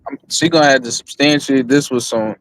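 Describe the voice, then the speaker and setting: A man's voice: medium pitch, a little fast, rough recording. A man on a livestream panel talking casually and profanely, blunt and skeptical.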